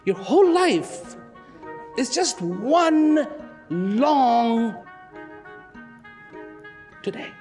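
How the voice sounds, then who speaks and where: A man giving a reflective public talk, slow and emphatic, swinging from loud declarations to hushed, almost whispered lines. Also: extremely high pitch, very animated. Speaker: speaker. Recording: long hall reverb with faint music.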